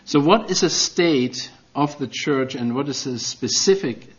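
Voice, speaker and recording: A man's voice: on the high side, expressive, a little slow, lo-fi sound. A middle-aged man with a German accent preaching a sermon as a guest, earnest and self-deprecating, with non-native phrasing.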